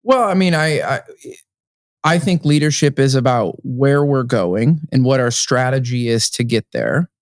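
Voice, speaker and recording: A man's voice: medium pitch, animated, measured pace, no echo. Chatty man podcast host, dry sound. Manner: chatty, with restarts and hedges. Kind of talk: casual interview chat. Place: conference venue.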